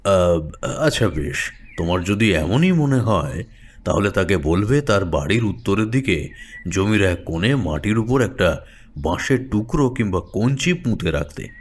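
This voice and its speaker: Deep-voiced, genial old tantric Taranath. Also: male voice, lively intonation, breathy edge, heavily acted. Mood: knowing, reassuring. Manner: avuncular, unhurried, reassuring. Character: Taranath, older male tantric storyteller, genial and knowing. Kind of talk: Bengali audio story acting.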